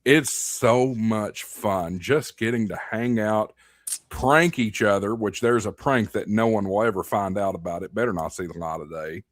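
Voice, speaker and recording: A man's voice: fairly low pitch, normal speed, no echo, studio quality. A man from Tennessee chatting casually, with folksy, joking banter.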